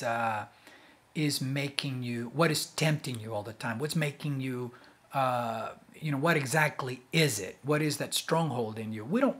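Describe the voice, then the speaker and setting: A man hosting a casual explanatory talk, speaking haltingly, repeating words and often checking in with the listener. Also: on the high side, slightly rough. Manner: halting, repetitive, with frequent check-in "okay?". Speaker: host, accountability group leader.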